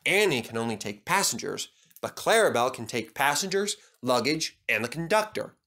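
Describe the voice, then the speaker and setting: Man narrating with very lively intonation. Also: mid-range voice, moderate pace. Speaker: storyteller. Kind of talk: scripted children's story narration.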